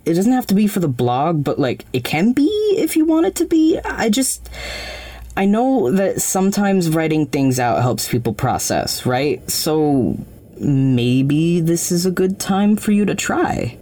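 An actress playing a frank, caring young friend, casual, tentative and supportive, in a naturalistic audio drama. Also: female voice, fairly low pitch, sing-song, measured pace, dark tone, no echo. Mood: affectionate, encouraging.

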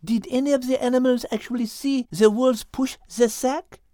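Woman voicing a high, fussy lady animal. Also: steady tone, mellow, no room sound, clean studio sound, lightly acted. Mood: calm, insistent. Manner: pedantic, measured, precise. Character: rational, fussy French-speckled female animal, overthinking know-it-all. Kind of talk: animal story character acting.

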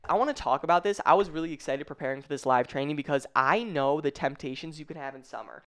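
Stuffy-voiced woman fitness coach, lower-pitched, high-energy live-stream host who swears freely. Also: fast, fairly bright tone. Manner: hyped, swears casually, addresses viewers by name. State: congested, a little stuffy.

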